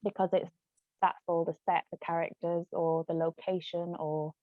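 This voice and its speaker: A woman's voice: fairly low pitch, level tone, moderate pace. A woman chatting in a rambling way as a guest in a casual discussion. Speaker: guest author and actress. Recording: muffled lo-fi phone-like audio, roomy echo.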